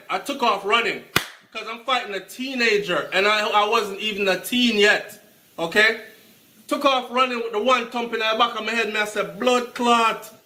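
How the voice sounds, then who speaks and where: A man's voice: sits high, pushed and bright. A man from Jamaica with a Jamaican accent telling a personal story emphatically, slipping into patois and swearing freely. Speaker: storyteller recounting own life. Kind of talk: animated personal storytelling to camera.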